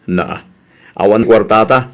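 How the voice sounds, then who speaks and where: A man's voice: on the low side, slow. Man acting a grumbling, reluctant Ilocano husband. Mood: doubtful, resigned. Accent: Ilocano Filipino. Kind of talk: Ilocano comedy radio drama acting.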